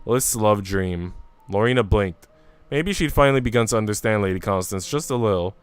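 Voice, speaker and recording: A man streaming a visual novel, reading it aloud as expressive narration and making casual asides. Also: fairly low pitch, brisk, clean tone. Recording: music playing behind the voice. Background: music.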